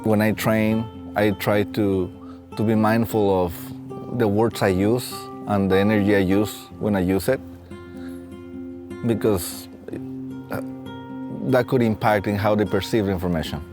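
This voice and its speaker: A man in his late thirties with a Mexican accent, telling his own story in a halting, reflective way. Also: fairly low pitch, fairly even intonation. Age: late 30s. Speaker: facilities and safety technician and staff trainer. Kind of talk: reflective personal storytelling for a training film. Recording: soft plucked guitar music behind. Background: guitar music.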